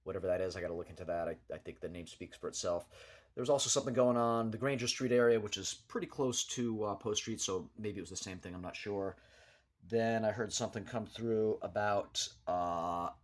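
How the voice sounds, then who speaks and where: Low-voiced man giving an unscripted local rundown. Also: fairly quick. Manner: hesitant, rambling, matter-of-fact. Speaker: local news vlogger. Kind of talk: unscripted commentary over a map, recapping local news.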